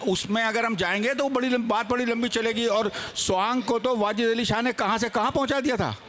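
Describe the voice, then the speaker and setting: A man debates on stage as a seminar panelist. His voice is notably high, and he presses his points in an insistent, argumentative way. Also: a little fast, fairly bright tone.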